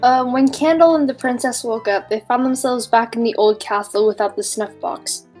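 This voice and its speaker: A woman's voice: a little high, normal speed, darkish tone, soft background music. A woman reading a fairy tale aloud on a podcast in an informal, giggly way, breaking off for casual asides.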